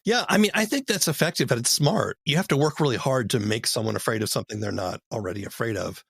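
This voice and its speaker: A man hosting an interview podcast in a measured, thoughtful way. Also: mid-range voice, lively intonation, vocal fry, fairly bright tone, husky, dry recording. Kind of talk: interview talk with narration.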